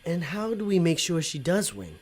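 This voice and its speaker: Bumbling male demon sidekick, voiced by a woman, slangy, excitable, lowish pitch, very lively intonation, comedic audio drama. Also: moderate pace, husky. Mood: eager. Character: bumbling, smooth-talking Italian male devil's henchman.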